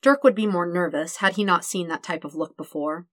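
A woman's voice: fairly low pitch, a little fast, no room sound, studio quality. A woman reading a fictional story aloud as a scripted narration. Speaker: podfic reader.

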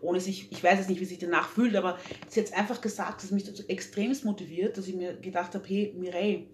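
A woman's voice: mid-range voice, fairly even intonation, fast talker. Woman, young adult, interview guest, earnest, echoey room, faint hum. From Austria. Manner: earnest, frequent fillers and restarts. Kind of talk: casual interview talk.

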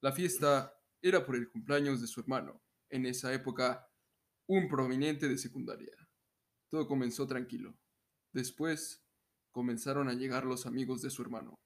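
A man's voice: medium pitch, steady tone, moderate pace. A young man with a Mexican accent co-hosting a podcast in casual comedic chat and story reading, jokey and prone to breaking into laughter. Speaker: podcast co-host.

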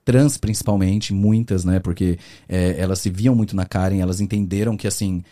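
A man from the interior of São Paulo state, with that region's accent, chatting as a guest on a podcast. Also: deep voice. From Brazil, interior of São Paulo state. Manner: fast, animated storytelling with many fillers. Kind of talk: casual interview chat.

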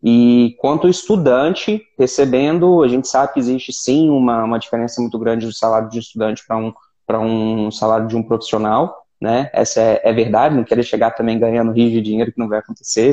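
A man's voice: mid-range voice, fairly even intonation, a little fast, mellow. A Brazilian man chatting casually on a panel, often stumbling and repeating words as he talks. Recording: dull lo-fi sound.

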